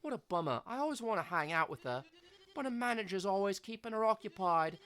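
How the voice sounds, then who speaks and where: A woman's voice: mid-range voice, expressive, fast talker, a bit bright, natural voice. A woman voicing a cheeky, upbeat teen zombie with a slangy, easygoing way of talking. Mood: chatty.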